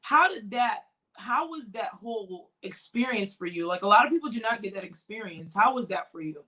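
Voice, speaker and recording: A woman's voice: mid-range voice, lively intonation, moderate pace, bright, forceful tone. A young woman hosting a sports interview and asking eager, friendly questions. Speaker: host.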